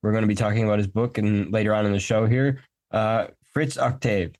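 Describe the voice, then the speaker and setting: Casual low-voiced man hosting a podcast. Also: steady tone, brisk, a little mellow. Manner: loose, conversational, with fillers. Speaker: podcast host.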